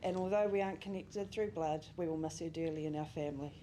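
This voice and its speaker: A woman's voice: low-pitched, quick pace, noisy background. A young woman reading a memorial speech for a family friend, reminiscing with small laughs. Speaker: family friend giving a eulogy. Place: memorial service venue.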